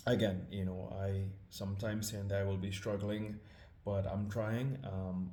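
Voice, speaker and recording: Trinidadian guy chatting before a scripture reading, lots of ums, lowish voice, roomy echo. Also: a little mellow, a little hiss or hum. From Trinidad and Tobago. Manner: hesitant, many ums, humble and apologetic. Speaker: scripture reader. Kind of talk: casual spoken introduction.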